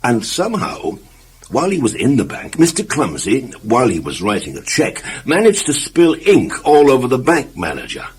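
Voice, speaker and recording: A man's voice: mid-range voice, huge pitch swings, normal speed. A man narrating a scripted children's story expressively, with emphatic phrasing and asides to the listener.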